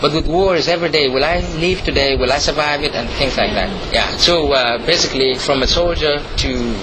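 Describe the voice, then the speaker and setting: A man's voice: a little high, a little fast, noisy background. A young man from Sudan whose first language is Dinka, a law student telling his story thoughtfully, with many fillers and restarts, growing passionate on issues. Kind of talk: personal account to a reporter.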